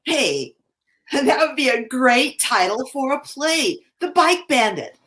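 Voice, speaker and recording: A woman's voice: high-pitched, big pitch swings, takes it slowly, a bit bright, heavily acted. A woman playing a cheerful peacemaker in an amateur comic staged play reading. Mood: excited.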